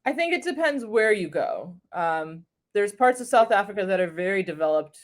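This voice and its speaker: A woman from New York City chats in a friendly, easygoing way, at a middle pitch for a woman. She sounds like an online tutor talking with a student over a video call. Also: sing-song, normal speed. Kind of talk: casual conversation.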